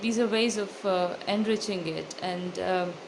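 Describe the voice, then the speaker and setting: A woman with an Indian accent giving thoughtful, articulate interview answers as an author, in a measured way with hesitant pauses. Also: fairly low pitch, vocal fry. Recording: loud background noise.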